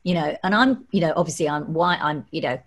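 A middle-aged woman from London hosts an interview over a video call, talking in an animated, chatty way. Her voice sits a little low and comes through a desk mic in a home room. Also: a little fast. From London, England. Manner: animated, gestures while talking. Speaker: podcast host. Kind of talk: interview chat. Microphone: studio desk mic on stand.

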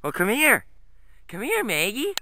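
A man's voice: sits high, huge pitch swings, a little slow, sharp tone, a little hiss or hum. A man talking to his dogs in a sing-song, coaxing way while filming a walk through snowy woods on a handheld camera. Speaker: vlogger walking his dogs.

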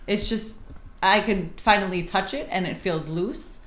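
A woman's voice: mid-range voice, unhurried, fairly bright tone. A young adult woman, a patient reacting casually and effusively during a hands-on treatment. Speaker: chiropractic patient. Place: small indoor treatment room. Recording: roomy echo with faint background noise.

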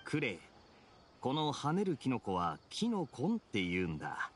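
An actor playing a suave, teasing young knight in an anime game, with a wry, nonchalant way of talking. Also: male voice, medium pitch, huge pitch swings, normal speed, heavy background noise. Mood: explaining. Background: game music.

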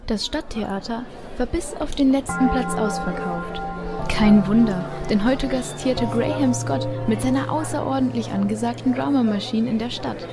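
A woman narrating a scripted story as the storyteller of an audio drama. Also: fairly high pitch. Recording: faint background ambience.

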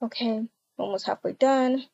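A woman's voice: a little high, moderate pace, gravelly, mellow, no echo, highs cut off. A woman voicing a betrayed, indignant and bossy wife in an amateur game roleplay. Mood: bitter, curt. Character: furious betrayed wife demanding divorce. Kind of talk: amateur voiced Roblox roleplay.

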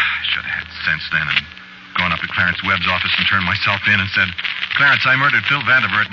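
A man's voice: low voice, close to an everyday voice. An American man acting as a brooding, guilt-haunted murderer who tells his story in a hesitant, colloquial 1940s radio drama style. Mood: haunted, regretful. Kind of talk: 1940s radio drama first-person narration. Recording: muffled, very noisy 1940s radio recording.

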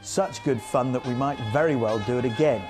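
A man's voice: mid-range voice, a little fast, loud music behind the voice. British male reporter, middle-aged, scripted narration for a television feature.

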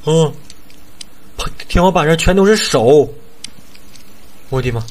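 A man's voice: on the high side, animated, takes it slowly, a little mellow. A man giving casual playthrough commentary on a horror game, thinking aloud, repeating short phrases and addressing his viewers. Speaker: gameplay streamer.

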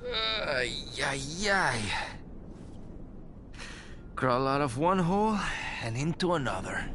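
Flippant roguish Spaniard, lively pitch swings. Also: male voice, slow, heavily acted. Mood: groggy, complaining. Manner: flippant, wry, teasing. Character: roguish, flippant Spanish ex-cop and ladies' man. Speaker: game character actor. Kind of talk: video game cutscene acting. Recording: game audio with loud ambient noise.

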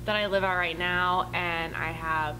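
A teenage girl with a lowish voice answers reflectively and at a measured pace. Music plays clearly under her. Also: fairly even intonation, low-quality recording. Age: teens. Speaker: interviewee. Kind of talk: interview answer. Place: indoor studio, plain wall.

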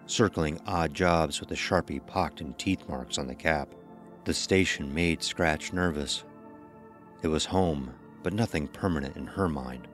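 A man narrating a scripted horror story as the narrator of an audio drama. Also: a bass voice.

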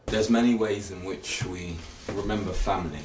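Deep-voiced young English man, reflective. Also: steady tone, normal speed, a little hiss or hum, rough recording. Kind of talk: casual documentary interview.

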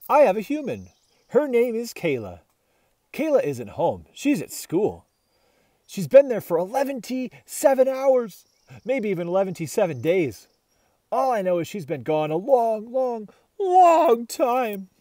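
A man voicing an excitable, naive pet dog who loves food, reading a children's storybook aloud in a dramatic, exuberant way. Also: high-pitched, unhurried, no room sound, studio quality, pitched above the performer's own voice, exaggerated voice. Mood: earnest, forlorn. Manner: exuberant, dramatic, stretches words out.

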